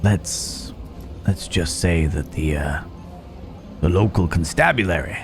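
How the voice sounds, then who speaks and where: Male actor as a Wild West cowboy, deep voice, slow drawn-out pacing, folksy, courteous with quiet menace, intimate audio roleplay. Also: over music. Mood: quietly menacing. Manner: unhurried, pausing, old-fashioned folksy turns of phrase. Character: laconic, gallant Wild West cowboy stranger, gentlemanly yet menacing. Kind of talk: intimate ASMR roleplay storytelling.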